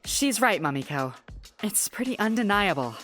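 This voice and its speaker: A woman playing a composed, sharp-minded young character in an audio drama, laying out deductions in a measured manner. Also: mid-range voice, very animated, faint music behind. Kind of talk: anime-style audio drama.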